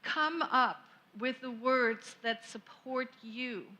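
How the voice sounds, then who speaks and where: Lady minister, slow gentle sermon, kinda high voice. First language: German. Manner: gentle, reflective, unhurried with long pauses. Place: church sanctuary.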